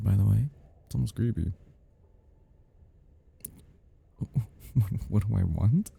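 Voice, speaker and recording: A man playing an obsessive yandere crime scene cleaner, confiding in the listener in a hushed, chatty ASMR-style monologue with gleeful, playful menace. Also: on the low side, creaky, husky, exaggerated voice. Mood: teasing, obsessive. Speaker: audio roleplay performer.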